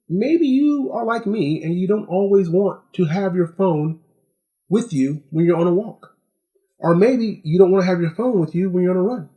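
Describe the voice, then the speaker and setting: A man's voice: on the high side, dark tone. Chatty man reviewing gadgets. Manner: wry, chatty asides. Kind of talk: casual product review to viewers.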